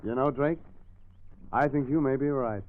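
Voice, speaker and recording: An actor playing a wry American private detective, terse and deadpan, in a scripted radio drama. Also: male voice, medium pitch, moderate pace, faint music behind, natural voice. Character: wry, hardboiled American private detective narrating his case. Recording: tinny old radio broadcast recording.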